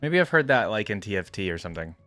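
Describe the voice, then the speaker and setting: Very low-voiced woman reacting casually. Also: sing-song, fast, a bit bright, no echo, soft background music. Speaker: reaction video host.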